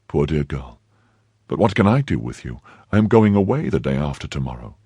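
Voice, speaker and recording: A man voicing a gallant young Frenchman in a storybook, confident, coaxing and quick to give orders. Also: very deep voice, very animated, mellow, slightly rough, no echo, heavily acted. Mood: reassuring. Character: gallant, brisk young Frenchman, affectionate and commanding.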